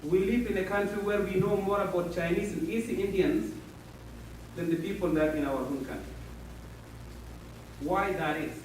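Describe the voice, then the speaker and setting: Ethiopian man, high voice, storytelling speech, caught from afar in a big echoing room, faint hiss. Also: a little mellow. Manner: anecdotal, halting, non-native phrasing. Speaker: speaker at a community meeting. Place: large echoey meeting hall. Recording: echoey hall, mic far off, faint hiss.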